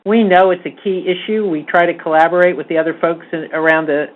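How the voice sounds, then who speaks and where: A woman's voice: on the low side, fairly even intonation, fast talker. A woman speaks as the leader of an advocacy group on a conference call. Her delivery is earnest, emphatic and rallying, in informal spoken remarks. The sound is thin and tinny, like a telephone line. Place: conference call from a meeting table.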